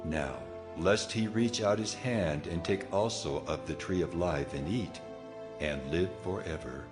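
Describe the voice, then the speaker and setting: An actor playing a stern, commanding God in a dramatized audio Bible, solemn and authoritative. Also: male voice, deep voice, unhurried, over music. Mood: grave. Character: stern, commanding Lord God passing judgment.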